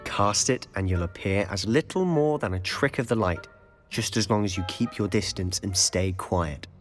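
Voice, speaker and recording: A cocky British schoolboy, played by a man, fairly low in pitch. He sounds casual, confident and conspiratorial, a game character with faint music behind. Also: normal speed, natural voice. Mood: conspiratorial, assured.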